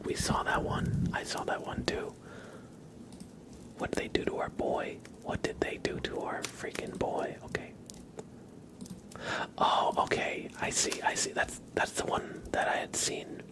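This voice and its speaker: A man speaking in a soft, breathy whisper, chatting casually with viewers as an ASMR livestream host. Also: unhurried.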